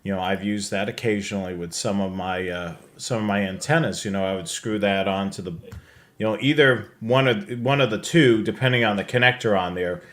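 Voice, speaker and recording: A man with a fairly low voice hosts a live hobby show. He walks through products in a casual, conversational style, as if guiding viewers through slides. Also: brisk. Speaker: show host presenting slides.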